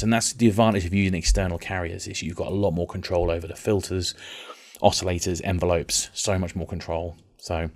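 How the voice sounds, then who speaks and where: A man explaining music software as a tutor, in an informal, rambling, conversational way. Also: low voice. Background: synth and vocoder music.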